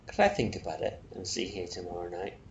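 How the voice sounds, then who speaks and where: A man reading a storybook plays a mild, thrifty customer who is tempted by any bargain. He sounds hesitant yet keen, with some creak in the voice and a roomy echo around it. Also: low-pitched, a little fast, highs cut off. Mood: pondering. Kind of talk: storybook character voice. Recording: roomy echo, faint noise.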